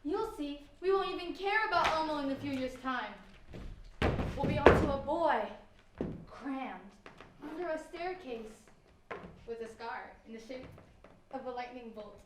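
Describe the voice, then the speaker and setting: Earnest, high-voiced little girl prophet played by a woman. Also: a little slow, pauses often. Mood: foreboding. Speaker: amateur stage actor. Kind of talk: mock-Greek-tragedy stage comedy. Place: indoor stage, recorded from afar. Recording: echoey hall, distant mic, loud noise.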